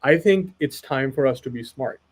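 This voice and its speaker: A man's voice: mid-range voice, normal speed. A man from Pakistan speaking as an expert guest in a casual webinar chat, earnest and persuasive, often tagging "right?" onto his points.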